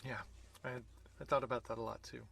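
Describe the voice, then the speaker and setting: Mid-pitched man in casual interview. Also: little pitch movement, a little fast, creaky, a bit bright, slightly rough. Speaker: interviewer and fellow stage actor.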